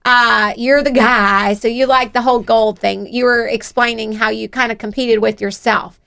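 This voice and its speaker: A woman's voice: a little high, steady tone, moderate pace, creaky, sharp tone, clear, resonant tone. A middle-aged woman with a Southern US accent, hosting a chatty motivational radio show in an effusive, encouraging way. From Georgia, United States. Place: radio studio.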